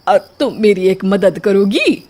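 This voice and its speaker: A woman's voice: medium pitch, lively intonation, takes it slowly, warm tone. A woman voicing an anxious, complaining middle-aged mother-in-law with a melodramatic comic manner in a family story. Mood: nervous.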